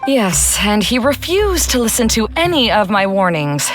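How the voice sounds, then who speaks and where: A woman voicing a composed, courteous, slightly weary guide in a video game, measured and formal. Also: medium pitch, sing-song, close to an everyday voice. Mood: regretful. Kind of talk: English video game voice acting. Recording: music under the voice.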